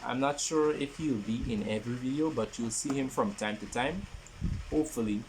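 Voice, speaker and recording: A man in his twenties introducing a podcast in a casual, halting way, often repeating his phrases. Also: medium pitch, a little mellow, slight background noise, rough recording. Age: 20s. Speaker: podcast host.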